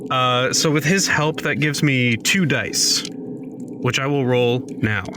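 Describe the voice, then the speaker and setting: A man's voice: mid-range voice, slow pace. A man playing a tabletop role-playing game, chatting casually as he improvises. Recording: clear background noise, room ambience.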